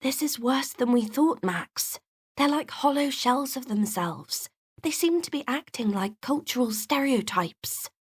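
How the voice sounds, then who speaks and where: A woman's voice: high voice, animated, a little slow, slightly rough, heavily acted. A woman playing an earnest, level-headed cartoon heroine, determined and encouraging. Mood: concerned. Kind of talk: scripted character dialogue.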